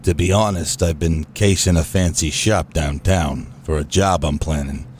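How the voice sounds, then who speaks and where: An older, shady father played by a man in a comedic noir radio play. The voice is extremely deep, with a hesitant, imploring manner, recorded with a little background noise. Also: moderate pace, darkish tone. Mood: shifty. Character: shady old crook father, pleading and shifty.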